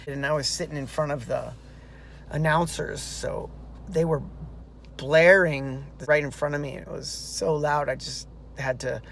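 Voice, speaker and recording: A man talking straight to his handheld camera, recapping a race in a loose, rambling way. His voice sits a little high, and he keeps restarting sentences and tossing in fillers as he goes. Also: measured pace, creaky, fairly bright tone, slight background noise. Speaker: runner vlogging a marathon. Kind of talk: casual vlog recap. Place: outdoors and in a car.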